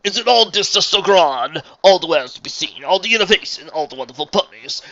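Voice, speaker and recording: Guy doing a pompous jolly stallion showman for a story, forceful n theatrical, voice swings all over. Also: high voice, expressive, fairly quick, lo-fi sound, pitched above the performer's own voice. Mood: effusive. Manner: grandiose, theatrical, effusive. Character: grandiose, jovial stallion exposition organizer, businesslike yet flattering.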